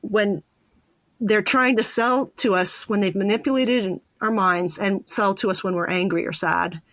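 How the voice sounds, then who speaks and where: A woman's voice: medium pitch, unhurried, a bit bright, no echo. A woman hosting a podcast, talking conversationally with her interview guest. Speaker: podcast host.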